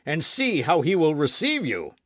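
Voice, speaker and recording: A man's voice: fairly high pitch, wildly swooping pitch, moderate pace, a bit bright, dry recording, studio quality, voice pushed up. A man voicing a fiery elderly male gun-club veteran who bellows indignantly. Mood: defiant.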